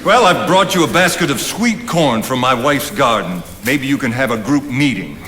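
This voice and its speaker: A man's voice: mid-range voice, lively intonation, moderate pace, natural voice. A man acting on stage as a fatherly, teasing older friend and mentor, with an amused, expansive delivery. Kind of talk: theatrical stage play acting. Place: on stage in a theatre. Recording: echoey theatre stage, faint background noise.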